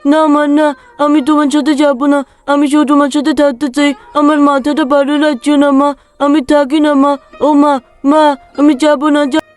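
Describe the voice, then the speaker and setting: A very high-pitched little boy, acted out for a cartoon. He is clingy and pleads over and over in a narrow, unvarying tone. Soft music plays behind him. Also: little pitch movement, moderate pace, lightly acted. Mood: whiny, pleading. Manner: pleading, repetitive. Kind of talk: cartoon story acting.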